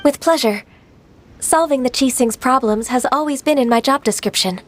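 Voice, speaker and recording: A woman voicing a gentle, polite young secretary in a video game, soft-spoken and formal. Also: high voice, normal speed, slight background noise, natural voice.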